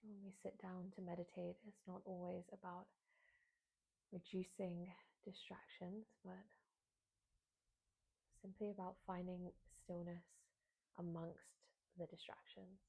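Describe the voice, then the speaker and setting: A woman guiding a beginners' meditation in a soft, breathy voice, with soothing phrasing and frequent pauses. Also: on the low side, flat delivery, low-quality recording. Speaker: meditation guide, yoga teacher.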